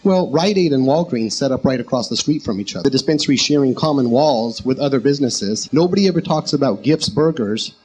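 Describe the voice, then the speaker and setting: Male debater, medium pitch, critical and emphatic. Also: lively intonation, brisk, few pauses, a little mellow, low-quality recording. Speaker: panel speaker on a radio talk show. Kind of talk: argumentative public discussion.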